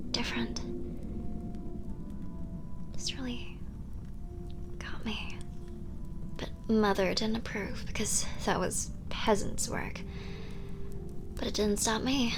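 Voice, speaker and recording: A woman acting as a seductive vampire maid in an ASMR roleplay. Her voice is fairly high and breathy, often slipping toward a whisper. She is coy, formal and devoted, over clearly audible background noise. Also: lightly acted.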